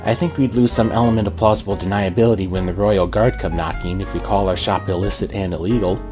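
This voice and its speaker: A man's voice: sits low, steady tone, fast, music in the background, lightly acted. A man voicing a level-headed, skeptical female pony shopkeeper with casual, reasoning speech in a storybook reading. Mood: practical.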